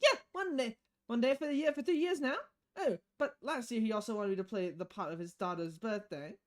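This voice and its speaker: Woman voicing a high, perky, brazen android girl. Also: fairly quick, lightly acted. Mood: teasing.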